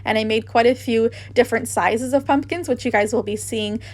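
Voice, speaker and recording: Woman, fairly high pitch, casual how-to narration, enthusiastic craft host. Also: steady tone, brisk. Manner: enthusiastic, gushing.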